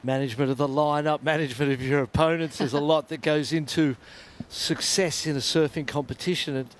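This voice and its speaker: Higher-voiced male surf commentator. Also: fairly even intonation, brisk, a little hiss or hum. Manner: chatty, reflective between waves. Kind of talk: live sports commentary.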